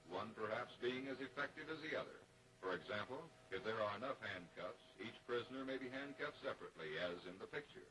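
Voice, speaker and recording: A man's voice: medium pitch, a bit bright. Formal American man narrating, distant vintage soundtrack. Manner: formal, measured, instructional. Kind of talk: 1940s training film scripted narration. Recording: distant, dated 1940s film soundtrack.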